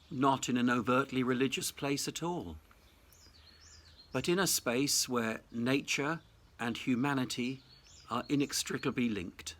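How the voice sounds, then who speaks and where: A man, a senior Anglican clergyman, delivering a reflective Easter sermon in a measured, deliberate, formal way. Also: medium pitch, sharp tone. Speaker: Anglican cathedral dean giving Easter message. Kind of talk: reflective sermon, prepared address.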